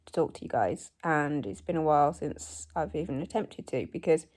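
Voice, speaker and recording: A woman in her forties, hoarse from a cold, chatting casually in a home vlog recorded on her phone, rambling with plenty of ums and likes. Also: low-pitched, expressive, normal speed, darkish tone. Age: 40s. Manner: rambling, self-deprecating, many ums and likes. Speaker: vlogger. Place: living room at home. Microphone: phone camera.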